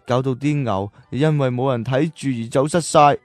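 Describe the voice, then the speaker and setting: A man playing a gentle, poor cowherd boy who loves learning in a radio drama, telling his story earnestly. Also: medium pitch, moderate pace, no room sound, faint music behind, lightly acted.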